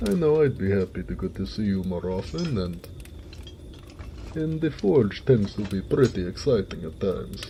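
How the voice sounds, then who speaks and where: A man playing a gentle, affectionate orc blacksmith in a romantic audio roleplay, speaking tenderly with some teasing. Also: fairly low pitch, sing-song, moderate pace, slight background noise. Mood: warm, encouraging.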